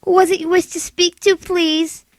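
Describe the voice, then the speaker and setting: A woman's voice: very high voice, flat delivery, normal speed, no room sound, studio quality. An actress playing a polite, officious hospital switchboard clerk in a radio thriller, formal and detached. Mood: politely officious. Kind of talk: old-time radio thriller acting.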